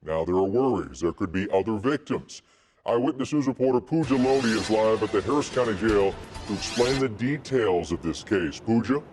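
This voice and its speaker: Low-voiced man anchoring TV news. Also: animated, a little mellow, faint music behind. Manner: measured broadcast delivery.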